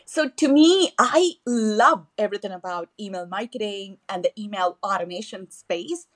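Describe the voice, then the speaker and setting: A woman's voice: medium pitch, moderate pace. Eager woman podcast guest. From southern India. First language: Tamil. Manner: enthusiastic, with frequent fillers and restarts. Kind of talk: podcast interview.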